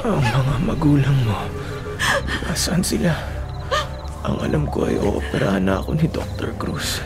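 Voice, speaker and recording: A man's voice: mid-range voice, big pitch swings, a little slow, over loud music. An actor in a Filipino radio drama playing a frightened, indignant young man held captive, speaking with anguished, earnest drama. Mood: weak, dazed. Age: young adult.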